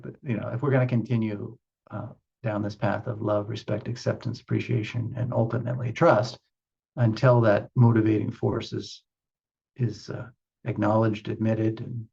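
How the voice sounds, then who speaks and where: A low-voiced man speaks as a spiritual teacher in a reflective meditation session. His voice is mellow and a little husky, and he moves through ideas in deliberate, halting phrases, pausing for emphasis. The recording is somewhat dull. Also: little pitch movement.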